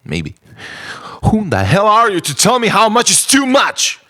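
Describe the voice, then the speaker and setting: A man performing a theatrical, stream-of-consciousness spoken-word monologue in a brooding, eccentric, gravelly manner. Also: sits high, wildly swooping pitch, measured pace, a bit bright, no room sound, clean studio sound. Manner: dramatic, brooding, swinging between murmurs and eccentric outbursts. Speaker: writer-performer of a personal monologue.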